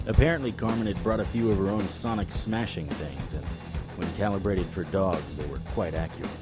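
A man's voice: low voice, fairly quick, loud music behind the voice, natural voice. A man acting a wry, tough private investigator with sardonic delivery in an audio drama. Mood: narrating.

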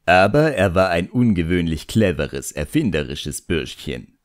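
A man's voice: deep voice, sing-song, measured pace, no room sound, studio quality. A man narrating an audiobook story in the first person with a wry, scripted delivery.

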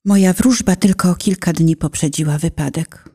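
A woman narrator reciting a poetic confessional prayer in a solemn, dramatic manner, with deliberate phrasing. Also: lowish voice, dark tone, husky.

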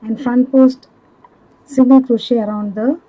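A woman giving step-by-step craft instructions in a measured, halting manner, as a tutorial instructor. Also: a little high, steady tone, narrow, tinny audio. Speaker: crochet instructor.

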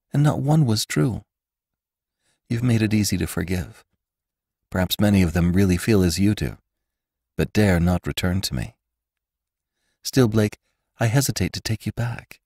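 A man's voice: low-pitched, normal speed, pauses often, darkish tone, no room sound, studio quality, voice pushed up. A man reading the lines of a troubled, wealthy rancher woman in an earnest, pleading way.

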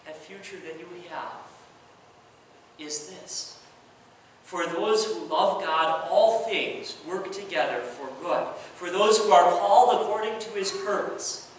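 A man in his thirties preaching a sermon from the pulpit, earnest, moving between hushed lines and raised emphasis. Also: high voice, slow pace, slight background noise. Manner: earnest, swings from soft hush to raised emphasis. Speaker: pastor. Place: large church sanctuary, at the pulpit. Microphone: distant pulpit mic. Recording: echoey church from far off.

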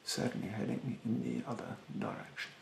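A young man doing a soft-spoken ASMR roleplay in a breathy, hushed voice, politely snide and condescending with drawn-out, hesitant phrasing. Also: mid-range voice, steady tone, reverberant, noisy background. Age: young adult. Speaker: ASMR performer playing a rude facial therapist. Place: bedroom, indoors.

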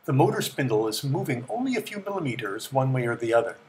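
A middle-aged man giving a measured, matter-of-fact scripted explanation as the presenter of a technical tour. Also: medium pitch, very animated, darkish tone. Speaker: presenter of a vintage electronics tour.

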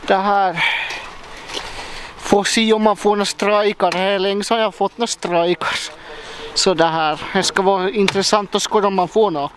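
A man's voice: high-pitched, steady tone, slight background noise. A young man, joking casually in a vlog while filming himself at arm's length. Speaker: vlogger.

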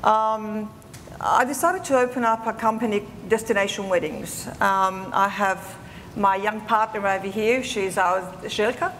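A woman's voice: medium pitch, measured pace, slight background noise. Chatty older woman on a hall stage. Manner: talkative, warm, many ums. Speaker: panelist. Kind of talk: panel talk. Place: conference stage in a large hall. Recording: reverberant hall recording.

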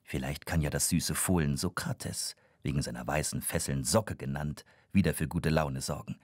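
A man's voice: extremely low pitch, moderate pace, no echo, studio quality. A man narrating a scripted children's audio story.

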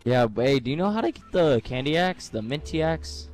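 A man's voice: on the high side, very animated, a little fast, a little mellow. A man chatting casually as a teammate in an online game. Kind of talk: casual gameplay chat. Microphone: game voice chat.

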